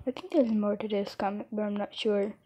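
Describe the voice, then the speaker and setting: A woman's voice: medium pitch, fairly even intonation, fairly quick, dark tone. A woman casually reading fan comics aloud with asides, stumbling and restarting lines as an amateur dubber.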